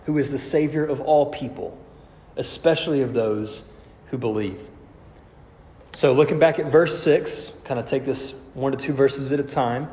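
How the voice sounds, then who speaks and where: A man's voice: a little high, warm tone. A man, a pastor getting over a cold, teaching through scripture step by step in an explanatory sermon. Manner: explanatory, step-by-step, rhetorical questions. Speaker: pastor preaching. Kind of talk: expository Bible-teaching sermon. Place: large reverberant room, preaching to congregation. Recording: echoey hall reverb, slightly dull sound.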